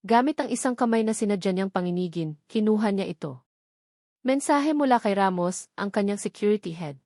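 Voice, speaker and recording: A woman's voice: medium pitch, lively intonation, a little fast, warm tone, no room sound. Woman narrating dramatic fiction, dry sound. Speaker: story narrator. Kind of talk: scripted dramatic romance narration.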